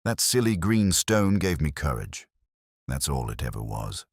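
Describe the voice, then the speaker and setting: A man's voice: a bass voice, big pitch swings, measured pace, breathy edge, no room sound, clean studio sound, full character voice. A man voicing a composed, wistful storybook woman in a polite, reflective, understated way. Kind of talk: storybook character dialogue.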